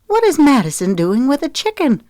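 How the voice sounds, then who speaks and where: A woman's voice: high voice, wildly swooping pitch, fairly quick, darkish tone, dry recording, studio quality, voice pushed up. A woman voicing an exasperated, incredulous grown daughter in an audiobook, questioning and indignant. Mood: disbelieving.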